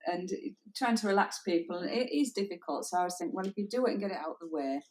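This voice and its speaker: A woman's voice: medium pitch, expressive, racing, low-quality recording. A woman, a wedding photographer speaking casually with a slightly hesitant, easygoing manner. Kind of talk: casual chat.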